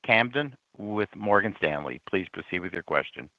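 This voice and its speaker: A man's voice: sits low, animated, moderate pace, pushed and bright, dry recording. A man working as a conference call operator, giving formal scripted introductions of callers. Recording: dull, dry conference line.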